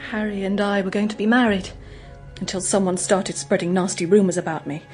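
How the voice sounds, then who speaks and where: An actress playing a gentle, guarded young English woman in a radio drama, speaking in an earnest, hesitant, confiding way. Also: medium pitch, steady tone, fairly quick, soft background music, natural voice. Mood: earnest, anxious.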